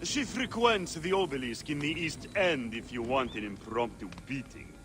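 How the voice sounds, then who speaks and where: An actor playing a brash, mocking Roman arena owner in a video game cutscene, insulting and dismissive. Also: male voice, medium pitch, lively intonation, moderate pace, background noise, natural voice. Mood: mocking, impatient.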